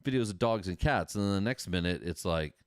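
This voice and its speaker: A middle-aged American man with a low voice and a dry, close sound. He chats informally as a podcast host, enthusiastic and full of casual slang. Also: animated, a little fast, no room sound. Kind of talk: casual solo livestream chat.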